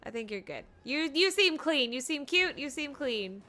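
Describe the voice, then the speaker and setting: A woman's voice: high-pitched, sing-song, normal speed, pushed and bright. A woman giving casual, playful livestream commentary as she plays a game, reacting as she goes. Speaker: game streamer.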